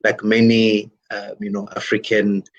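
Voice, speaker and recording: A man from DR Congo whose first language is French speaks with a fairly low voice. He answers reflectively as an interview guest, often circling back with "you know" and small restarts. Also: completely flat delivery, measured pace, highs cut off. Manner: thoughtful, many "you know" fillers and restarts. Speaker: guest musician and worship leader.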